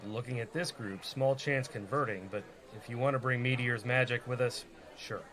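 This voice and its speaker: Man playing a casual young rogue, mid-pitched. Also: fairly even intonation, moderate pace, a bit bright, natural voice. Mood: negotiating. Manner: casual, bargaining. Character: young rogue, persuasive, reluctant party leader. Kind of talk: comedy radio play acting.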